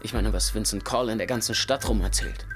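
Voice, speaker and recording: An actor playing a deferential, nervous gangland underling in a German radio play, hesitant and placating. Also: male voice, lowish voice, brisk, natural voice. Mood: wary, deferential. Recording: studio radio play under loud music.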